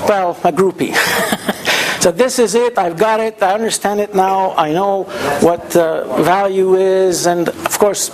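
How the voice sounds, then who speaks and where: A man from Hungary gives an informal keynote talk, telling stories conversationally and posing rhetorical questions. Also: sits high. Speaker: keynote speaker. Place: symposium venue, indoors. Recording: noisy live room recording, background chatter.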